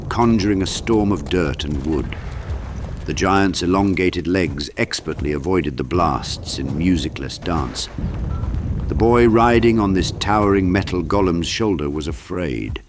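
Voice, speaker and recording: Male narrator for dark fantasy audiobook: low-pitched, dramatic, scripted storytelling. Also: noisy background.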